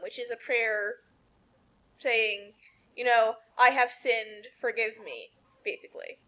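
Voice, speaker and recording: Bright, high-voiced sarcastic woman. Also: low-quality recording. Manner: incredulous, sarcastic. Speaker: co-commentator. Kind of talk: casual, critical riffing on a story.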